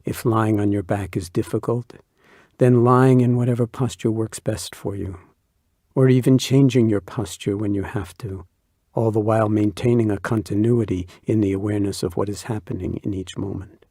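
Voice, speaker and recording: A man leading a guided body scan meditation, speaking slowly in a soft, soothing way. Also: lowish voice, fairly even intonation, no room sound, clean studio sound. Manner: slow, soft-spoken and soothing.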